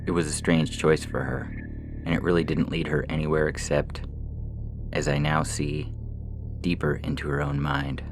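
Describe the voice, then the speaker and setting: Very deep, gravelly man reading fiction. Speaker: story reader. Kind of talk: scripted literary narration of science fiction. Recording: music bed under the voice. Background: music.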